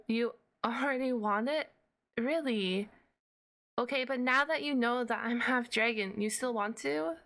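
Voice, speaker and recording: A woman's voice: a little high, pushed and bright. A woman voicing a shy, nerdy, flustered half-dragon librarian in an ASMR roleplay, hesitant and rambling with awkward humour. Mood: flustered, bashful.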